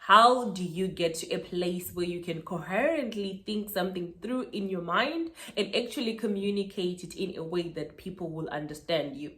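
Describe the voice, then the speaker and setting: A woman's voice: fairly low pitch, normal speed, few pauses, fairly bright tone. A woman, a Shona speaker, giving motivational advice in an emphatic style and repeating key words for stress. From Zimbabwe. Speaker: author and speaker. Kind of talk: motivational talking-head vlog.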